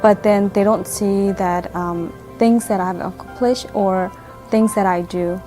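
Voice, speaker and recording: Woman from Thailand, community organizer, sincere plain testimonial, soft music behind. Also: medium pitch, steady tone, unhurried. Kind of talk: personal testimonial.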